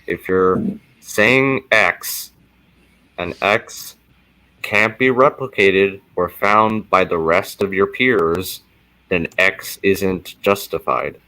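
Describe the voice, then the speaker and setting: Deep, bright-voiced man in casual discussion. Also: takes it slowly, no echo, poor audio.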